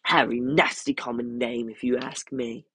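A man voicing a sharp, disapproving middle-aged wife who speaks curtly, in a storybook reading. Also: medium pitch, steady tone, normal speed, breathy edge, no room sound. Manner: curt and snappish.

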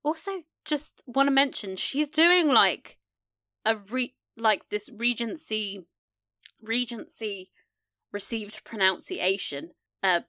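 A woman's voice: fairly high pitch, slow, pushed and bright. A woman co-hosting a casual, chatty recap discussion, gushing and playful in slangy speech. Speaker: podcast co-host.

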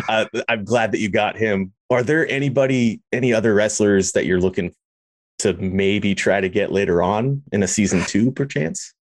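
Lowish-voiced man hosting a casual podcast. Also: moderate pace, dry recording. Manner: friendly, enthusiastic fan. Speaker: podcast host, interviewer. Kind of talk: casual interview chat.

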